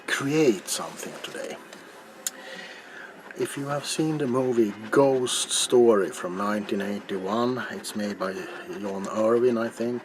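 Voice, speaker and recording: A middle-aged man narrating a craft in a soft-spoken, hesitant ASMR style that slips into whispers. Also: on the low side. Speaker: ASMR video maker. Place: small cluttered indoor home workroom. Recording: clear background room noise.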